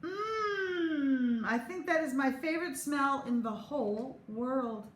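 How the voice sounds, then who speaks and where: Woman voicing an excitable, anxious little schoolboy, roomy sound. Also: high voice, slow. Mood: delighted. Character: anxious young schoolboy narrator, excitable and secretive. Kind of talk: children's storybook read-aloud. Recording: roomy echo, clear background noise.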